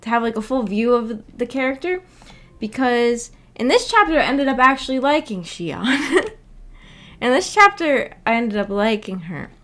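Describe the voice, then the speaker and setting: Higher-voiced woman chatting casually on stream. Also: animated, normal speed, fairly bright tone. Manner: hesitant, searching for words, giggly. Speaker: streamer hosting a visual novel book club. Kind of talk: casual livestream chat, reacting to viewer comments.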